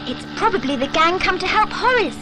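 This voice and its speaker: A woman playing a sweet, anxious little sister in a children's audio drama, earnest and gentle. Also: extremely high pitch, expressive, brisk, heavy background noise.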